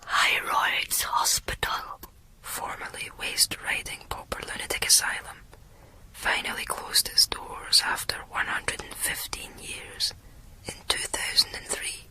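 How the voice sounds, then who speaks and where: An actress playing a secretive, nervous, downtrodden wife with a breathy voice in an intimate radio drama. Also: female voice, pushed and bright, poor audio.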